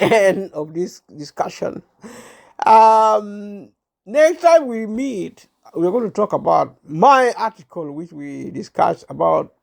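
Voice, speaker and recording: Older man, sixty, from Uganda; solo channel host, halting and unwell, gravelly with a high voice. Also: darkish tone. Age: 60s. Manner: halting, many fillers and restarts. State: unwell, clears throat. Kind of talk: casual talk to camera.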